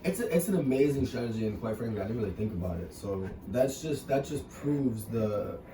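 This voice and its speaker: A young man talks in a loose, rambling interview, full of fillers. His voice sits low and comes from well back from the mic. The room echoes and a steady noise runs underneath. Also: fairly quick, distant mic. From New York. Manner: relaxed, talkative, many fillers. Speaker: interviewer, fellow wrestler. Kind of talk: casual interview.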